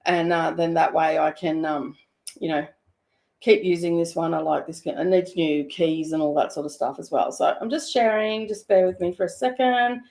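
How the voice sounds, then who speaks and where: A woman hosting a stitching live stream from her home studio, hoarse and croaky today, chatting in a rambling way with many fillers. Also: fairly low pitch, fairly even intonation, moderate pace, darkish tone. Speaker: craft live-streamer host. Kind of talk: casual chat live stream.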